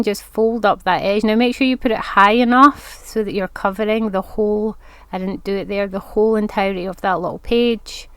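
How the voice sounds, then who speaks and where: A woman's voice: mid-range voice, smooth, dry recording. A woman with a congested voice from hay fever, chatting her way through a step-by-step crafting tutorial. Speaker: craft vlogger.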